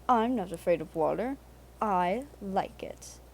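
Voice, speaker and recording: A woman's voice: mid-range voice, expressive, measured pace, a little mellow, a little hiss or hum. A woman voicing a cheeky, conceited young male steam engine in a children's storybook. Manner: conceited, playful boasting.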